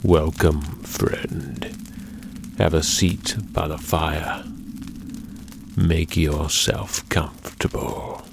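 An actor playing a sinister, inviting horror storyteller narrating an audio anthology. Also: male voice, rumbling low, fairly even intonation, extremely slow, vocal fry, noisy background, heavily acted. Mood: sinister welcome. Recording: prominent music behind the voice.

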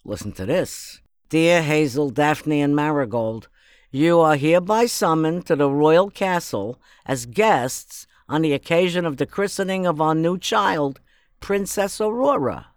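An actress playing a bossy, blunt, tiny elderly fairy, folksy and wry, in a fairytale audio drama. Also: female voice, sits low, expressive, unhurried, no echo, clean studio sound. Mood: eager. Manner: folksy, wry, plain-spoken.

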